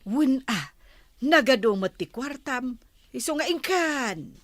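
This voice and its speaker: An actress playing a vain, bossy middle-aged village wife in an audio drama, scolding in drawn-out phrases with an Ilocano Filipino accent. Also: female voice, high voice, lively intonation, fairly bright tone, no echo, exaggerated voice. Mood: defensive.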